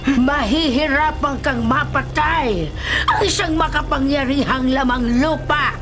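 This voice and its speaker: A woman playing a grave older female folk healer, solemn and ominous, in a Filipino radio drama. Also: high-pitched. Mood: grave, foreboding. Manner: solemn, ominous, deliberate phrasing. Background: dramatic music.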